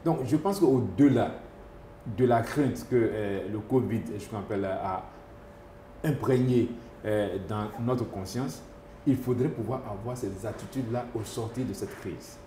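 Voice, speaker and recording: Hesitant man, low voice, echoey room. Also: measured pace, mellow, slight background noise. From Côte d'Ivoire. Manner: hesitant, many fillers and restarts. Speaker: epidemiologist doctor. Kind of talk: interview answers. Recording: echoey room with faint ambience.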